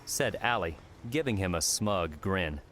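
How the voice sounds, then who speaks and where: A man's voice: low-pitched, lively intonation, a little slow, a bit bright, a little hiss or hum. A man reading scripted fiction as an audiobook narrator.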